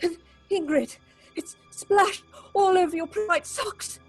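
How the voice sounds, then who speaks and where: A woman playing an unhinged theatre actress haunted by visions of blood, speaking in a halting, repetitive, feverish way in a horror audio drama. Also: very high voice, faint music behind. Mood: mad laughter.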